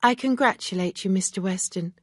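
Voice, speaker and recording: A woman's voice: mid-range voice, brisk, a bit bright, no room sound, studio quality, lightly acted. A woman voicing proud young Emma. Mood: earnest.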